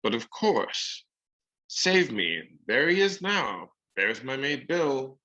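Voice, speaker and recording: Man playing a sly, slow-spoken old pirate. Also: mid-range voice, big pitch swings, sharp tone, dull audio, voice pushed up, full character voice. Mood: sly, wheedling. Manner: rustic seafaring talk, coaxing endearments. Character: sly, wheedling, menacing old male pirate.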